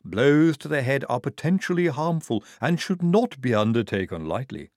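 A man's voice: medium pitch, lively intonation, normal speed, a little mellow, dry recording, studio quality, voice pushed up, natural voice. A man doing a precise, clinical young woman medic.

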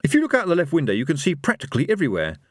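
Male reader as anxious coward, wry, hesitant, evasive, higher lively pitch, storybook character. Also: racing, no room sound, clean studio sound. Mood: nervous. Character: Rincewind, nervy cowardly man, wry and evasive.

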